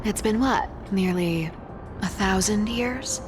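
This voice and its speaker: A woman's voice: mid-range voice, takes it slowly, over loud music, lightly acted. A woman playing a sly, carefree young female thief in a video game, speaking in a wry, teasing and nonchalant way. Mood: wry, musing. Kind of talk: video game character dialogue.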